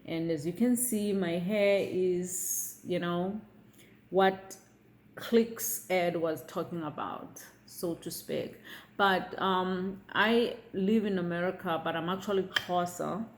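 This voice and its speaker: Woman vlogging, measured. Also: on the low side, fairly even intonation. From South Africa. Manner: measured, repeats "okay?" to check in with viewers. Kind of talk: casual vlog commentary.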